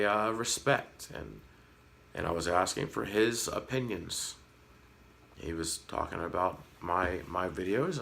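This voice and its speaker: Low gravelly man, slightly hoarse. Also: fairly bright tone. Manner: frank, earnest, lightly sarcastic. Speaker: fish-keeping vlogger. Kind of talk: casual talk to camera.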